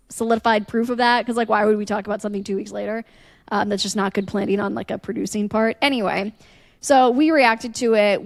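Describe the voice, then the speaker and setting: A woman's voice: mid-range voice, no room sound, clean studio sound. A woman chatting casually as a podcast host, telling a story and acting out what people said.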